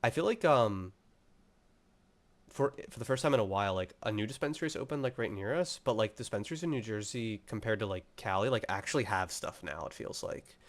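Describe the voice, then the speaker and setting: A man's voice: lowish voice, expressive, a little fast. A young man co-hosting a podcast, chatting casually and rambling with lots of "like", sounding a bit congested from a cold. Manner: rambling, heavy use of filler "like". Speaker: podcast co-host. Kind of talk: casual chat.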